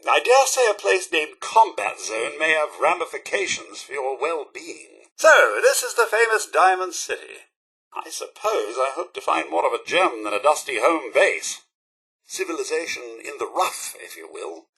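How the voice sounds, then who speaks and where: Video game robot butler, British accent, prim and polite, dry wit, swooping intonation, raspy edge. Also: male voice, a little high, big pitch swings, normal speed, pushed and bright, exaggerated voice. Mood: wary, dry. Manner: prim, formal, theatrical, sardonic. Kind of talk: video game companion voice acting.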